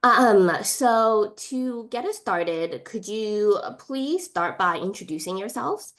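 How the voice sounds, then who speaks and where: A woman's voice: mid-range voice, animated, slow, a bit bright. Polite woman moderating a webinar. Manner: polite, organized, many fillers. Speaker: moderator, admissions director. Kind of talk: hosting an online panel.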